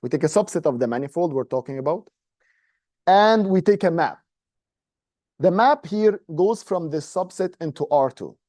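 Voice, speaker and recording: A male university lecturer with an Egyptian accent explains math informally to a class. His voice is slightly high for a man yet soft-edged and mellow, recorded dry with little room sound. He often pauses to check that listeners follow. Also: animated, dry recording. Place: medium-sized indoor classroom, standing at a presentation screen.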